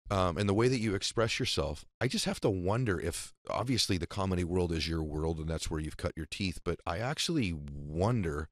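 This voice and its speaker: Brief: very deep, quick-talking host, emphatic, dry booth sound. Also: male voice, lively intonation, no room sound. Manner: emphatic, hand-gesturing, motivational. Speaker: podcast host. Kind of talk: reflective interview talk. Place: radio studio. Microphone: studio boom mic, close.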